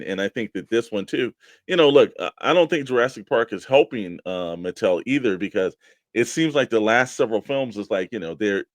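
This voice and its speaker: A man's voice: lowish voice, no echo. A man co-hosting a hobby show in casual discussion, with a loose, meandering way of phrasing things. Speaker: co-host of a toy-collecting show.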